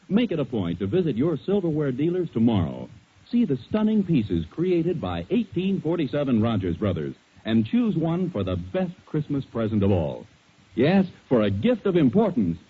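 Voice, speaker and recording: A male American radio announcer giving an emphatic, polished 1940s scripted sales pitch. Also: wildly swooping pitch, normal speed, slightly rough. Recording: thin, phone-like 1940s radio recording.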